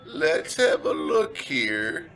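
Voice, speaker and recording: High, creaky, dawdling old clerk. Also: male voice, sing-song, a bit bright, a little hiss or hum, full character voice. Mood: dithering, polite. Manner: halting, dithering, slow. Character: slow, doddering, polite old male baggage clerk. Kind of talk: noir radio comedy drama.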